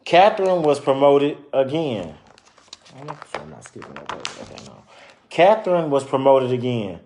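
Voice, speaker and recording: A man's voice: medium pitch, sing-song, moderate pace, a little mellow, slight background noise. Man reading aloud with casual asides. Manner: conversational, explains and repeats points informally. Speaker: storyteller reading a children's book aloud.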